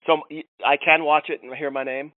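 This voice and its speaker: A man's voice: on the high side, flat delivery, a little fast, no echo. A man chatting casually on the phone as a customer, in a folksy, salty, plain-spoken way. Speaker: customer who won a prize. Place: other end of a phone call. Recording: distant, tinny phone line.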